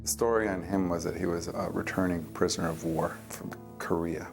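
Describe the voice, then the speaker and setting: Deep, gravelly man telling his story. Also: steady tone, fairly quick, music in the background. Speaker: documentary interviewee. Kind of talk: personal storytelling, reflective interview testimony.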